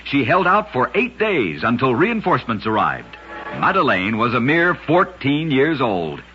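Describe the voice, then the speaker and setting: A man's voice: medium pitch, wildly swooping pitch, unhurried, highs cut off, soft background music. A man narrating short scripted stories as a radio host, with a dramatic, emphatic delivery, on a vintage radio recording.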